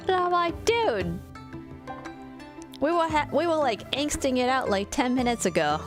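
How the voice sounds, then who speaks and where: A woman's voice: sits high, big pitch swings, a little slow. Lady streamer just rambling n teasing, casual game commentary, music going behind her. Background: game music and character voices.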